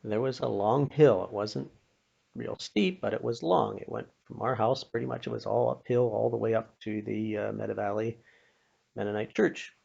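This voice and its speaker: An older man with a North American accent and a gravelly voice, telling a personal story in an unhurried, plain-spoken, folksy way, as if passing on a lesson. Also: lowish voice, darkish tone. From Pennsylvania, United States. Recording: dull, muffled lo-fi recording.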